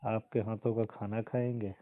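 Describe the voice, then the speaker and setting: A man's voice: fairly low pitch, level tone, normal speed, no echo, lightly acted. A man playing a sweet-talking suitor, casual and coaxing, in an intimate phone-call conversation. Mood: flirtatious. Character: smooth-talking suitor sweet-talking his lady love. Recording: muffled phone call recording.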